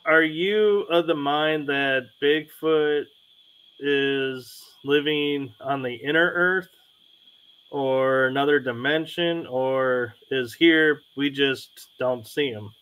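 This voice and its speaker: A man's voice: mid-range voice, slow, a bit bright, clean tone, low-quality recording. An American man from Chicago chatting casually as a co-host asking questions. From Chicago, United States. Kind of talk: casual chat.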